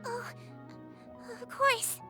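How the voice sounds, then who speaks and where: A woman voicing a gentle young female melusine nurse in a game, speaking softly with calm reassurance. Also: very slow, soft background music, exaggerated voice. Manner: soft, calm and kindly reassuring. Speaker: head nurse. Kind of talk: game cutscene voice acting.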